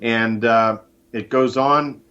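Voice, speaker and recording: A man's voice: medium pitch, steady tone, takes it slowly, pushed and bright. A man from the United States, a guest on a live show, explaining things conversationally with promotional enthusiasm. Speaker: guest, contest organiser. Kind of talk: conversational live interview by call-in. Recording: dull, band-limited call-in line.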